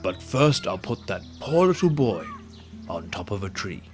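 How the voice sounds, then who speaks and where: A man voicing a gruff, selfish but soft-hearted giant from a storybook, with music behind him. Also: very animated, normal speed. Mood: remorseful. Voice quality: great booming voice. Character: gruff selfish male giant, booming, later tender-hearted. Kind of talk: storybook character acting.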